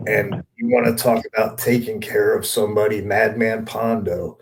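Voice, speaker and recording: A man's voice: on the low side, little pitch movement, normal speed, vocal fry, low-quality recording. A middle-aged man, a veteran wrestler, reminiscing casually and repeating himself for emphasis. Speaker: veteran wrestler, guest commentator.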